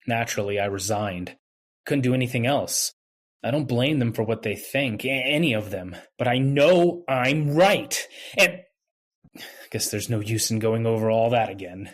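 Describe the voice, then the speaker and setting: A man performs a young intern in an old-time radio play. He sounds wronged and brooding, his medium-pitched voice somewhat rough and unclear. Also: animated, moderate pace, natural voice. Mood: bitter.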